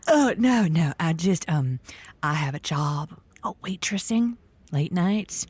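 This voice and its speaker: A coy, flustered library worker in an audio comedy. She talks slowly in hesitant half-whispers, with pitch that swoops around, recorded dry. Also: lively intonation, a little mellow, breathy edge, dry recording, full character voice. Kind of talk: scripted audio comedy acting.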